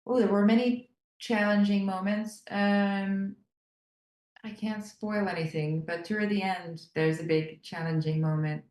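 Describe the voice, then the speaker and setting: A woman's voice: mid-range voice, steady tone, a little slow, darkish tone, echoey room. An actress whose first language is German, speaking English with a German accent and talking earnestly about her role in an interview. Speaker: actor. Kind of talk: press junket interview.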